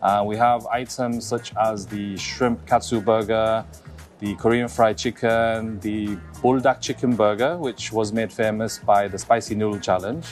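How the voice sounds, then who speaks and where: A man's voice: on the low side, steady tone, measured pace, soft background music. A man in his thirties from Hong Kong, a restaurant co-founder, speaking to camera in a restaurant through a lapel mic. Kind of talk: to-camera interview segment. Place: restaurant dining room.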